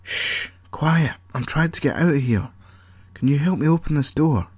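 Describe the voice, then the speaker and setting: Audio story captive, done by a male reader: frustrated, pleading, demanding, raised pitch, close dry sound. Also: moderate pace, breathy edge, no echo. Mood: urgent hushed. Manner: exclamatory, demanding questioning. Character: frustrated, impatient trapped young male, pleading and defiant.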